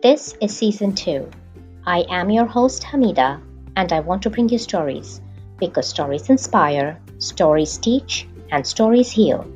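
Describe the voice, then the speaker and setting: A woman hosting a podcast, speaking in a measured, deliberate way as she introduces the show and interviews a guest. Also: lowish voice, expressive, darkish tone, faint music behind. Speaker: podcast host. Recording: dull lo-fi sound.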